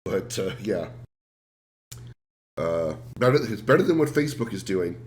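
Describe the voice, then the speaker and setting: Sick male streamer, lively chatty voice. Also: low-pitched, unhurried. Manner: rambling, self-deprecating, reads out chat. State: sick with a cold, congested and rough-voiced. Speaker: game livestreamer. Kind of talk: casual livestream chat.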